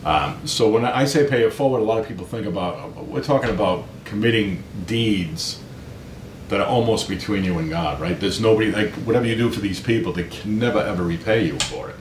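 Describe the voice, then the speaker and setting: A man talking as an interview guest, earnest and emphatic, often checking in with a questioning tag. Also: brisk. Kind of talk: conversational interview. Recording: roomy echo, low rumbling background noise.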